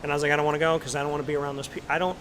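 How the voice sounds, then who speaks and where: Male talk host, chatty storyteller, slightly high voice, restaurant crowd behind. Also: rapid-fire. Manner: chatty storyteller, animated asides. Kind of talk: casual interview chat. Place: busy restaurant.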